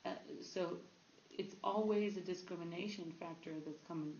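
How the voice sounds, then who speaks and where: A woman from Berlin answering interview questions, acting out little dialogues with a raised, lively voice. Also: fairly low pitch, moderate pace, a little hiss or hum. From Berlin, Germany. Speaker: interview guest. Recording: echoey lo-fi room recording.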